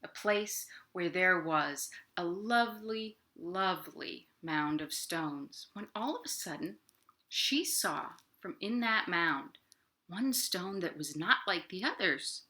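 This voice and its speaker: A woman's voice: fairly low pitch, expressive, slow, pushed and bright. A woman hosting a children's storytime from home, speaking directly to young listeners as she tells stories. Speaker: library storytime host. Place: at home, indoors.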